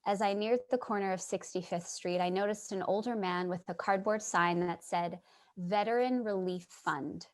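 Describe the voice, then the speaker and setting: A woman's voice: mid-range voice, moderate pace, close to an everyday voice. Actress as sympathetic woman writer, earnest and sincere, middle register, for a staged drama reading. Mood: reflective. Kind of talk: staged reading with first-person narration.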